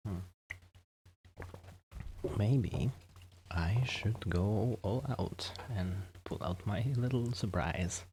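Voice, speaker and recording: A man doing a soft-spoken, casual ASMR roleplay, chatting gently and hesitantly to the listener, at times dropping into a whisper. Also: fairly low pitch, extremely slow, long pauses, slight background noise, poor audio. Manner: hesitant, rambling, gentle, often drifting into whispers. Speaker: ASMR performer playing a friendly host.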